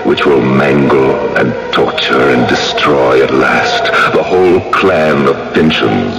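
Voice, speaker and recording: Very deep, gravelly, vengeful ghost. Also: male voice, over music, exaggerated voice. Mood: gloating menace. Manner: theatrical, deliberate, sardonic. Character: pestilent, vengeful male ghost of a wronged wizard. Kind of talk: radio drama acting. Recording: noisy old radio recording.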